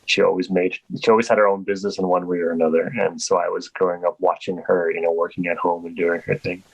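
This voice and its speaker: A man's voice: sits low, flat delivery, fast, gravelly, no echo, poor audio. A Polish-born man answering interview questions in a rambling, casual way with many restarts. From Poland. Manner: rambling, full of restarts and fillers. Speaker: guest.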